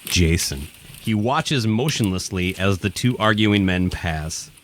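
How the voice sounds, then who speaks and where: A man's voice: low-pitched, animated, measured pace. A man reading scripted narration for a radio play. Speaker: narrator, podcast host.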